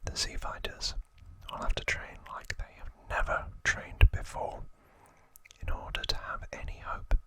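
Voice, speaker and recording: An ASMR host whispering gently and deliberately, drawing words out as they present to the listener. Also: rough recording.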